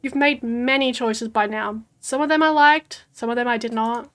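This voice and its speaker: Aussie woman reading a cryptic ocean guide: measured, portentous, slightly high. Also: steady tone, a bit bright, lightly acted. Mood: mysterious. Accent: Australian. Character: the ocean as a vague, mysterious guiding voice.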